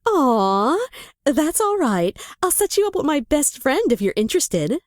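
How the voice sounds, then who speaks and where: A forward, playful lady played by an actress speaks up in a visual novel. Her voice is on the high side and very animated in pitch, captured dry and close. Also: measured pace, no echo.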